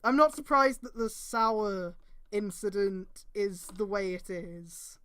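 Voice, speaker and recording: A woman streamer reading fan fiction aloud in a mocking live style, with exasperated outbursts, drawn-out emphasis and swearing. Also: mid-range voice, a bit bright, smooth.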